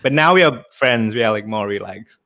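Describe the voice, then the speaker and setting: A man's voice: lowish voice, lively intonation, a little fast, bright, forceful tone. Thai-accented man searching for words. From Thailand. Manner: searching for words, hesitant, often says "how to say". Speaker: Thai teacher and translator, guest. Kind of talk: casual podcast chat.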